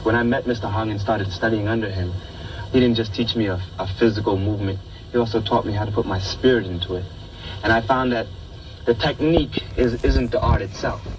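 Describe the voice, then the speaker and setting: A man's voice: on the low side, a little fast, a little hiss or hum, rough recording. A man from Puerto Rico, a kung fu instructor, speaking intensely and earnestly in a documentary interview, repeating phrases for weight. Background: loud music.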